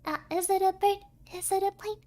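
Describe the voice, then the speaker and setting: A woman's voice: flat delivery, fairly quick, mellow. A woman voicing a cute, whiny little fairy girl in an anime VTuber roleplay, childlike and cutesy. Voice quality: very high, childlike. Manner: cutesy, drops in Japanese words, third-person self-talk.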